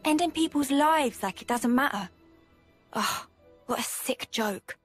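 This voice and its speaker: A feisty young female game soldier. Her voice sits a little high. She talks in a brash, punchy, slangy way over faint music. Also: animated, measured pace. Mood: disgusted. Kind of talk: video game cutscene voice acting.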